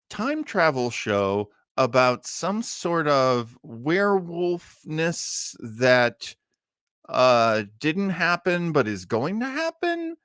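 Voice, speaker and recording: An American man hosting a casual live stream, talking in a rambling, halting way with chuckling asides. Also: medium pitch, fairly bright tone, clear, resonant tone, dry recording, clean studio sound. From Maine, United States. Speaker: host of an online old-time radio listening show.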